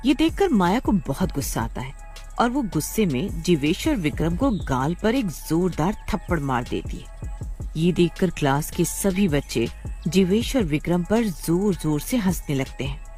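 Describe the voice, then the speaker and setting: A woman narrating a scripted story in an expressive, dramatic storytelling style. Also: fairly low pitch, unhurried, soft background music.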